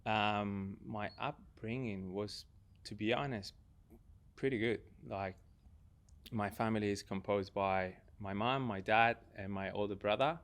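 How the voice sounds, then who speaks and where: A man's voice: lowish voice, a little slow. A young man from Argentina with an Argentine Spanish accent, talking reflectively as a podcast guest. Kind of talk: casual, reflective personal conversation.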